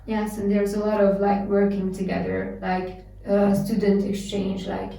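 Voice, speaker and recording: A young woman from Serbia, a native Hungarian speaker, talks casually in English, stringing her answers together with "like." Her tone is mellow. She sounds far from the mic in a strongly echoing room. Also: mid-range voice, normal speed, vocal fry. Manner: relaxed, frequent "like" fillers. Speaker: interview guest. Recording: echoey room, mic at a distance.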